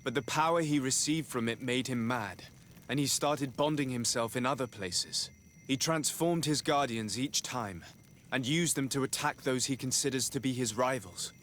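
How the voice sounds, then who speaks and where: An actor playing an earnest young nobleman in a video game, speaking formally and gravely as a resolute envoy. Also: mid-range voice, normal speed, a bit bright, slight background noise, natural voice. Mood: grave urgency. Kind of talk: dramatic video game dialogue.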